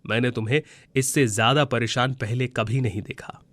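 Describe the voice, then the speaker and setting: Kindly talking tree for a moral fairy tale, played by a man: bright, forward tone, gentle manner, dry recording. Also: medium pitch, fairly even intonation, moderate pace, dry recording, lightly acted. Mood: consoling. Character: kindly, consoling magical talking mango tree. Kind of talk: Hindi moral storybook voice acting.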